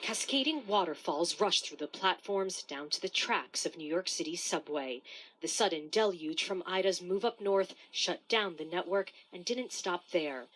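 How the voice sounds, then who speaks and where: A woman's voice: on the low side, moderate pace, fairly bright tone, poor audio. A woman narrating a scripted news report as a correspondent.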